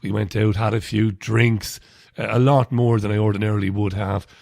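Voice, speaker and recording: A man in his forties hosts a live radio show from a studio, chatty, blunt and sardonic. His voice is gravelly and a little throaty, with plenty of fry. The sound is dry and close, with no room echo. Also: on the low side, level tone, normal speed, a little mellow. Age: 40s. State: slightly throaty.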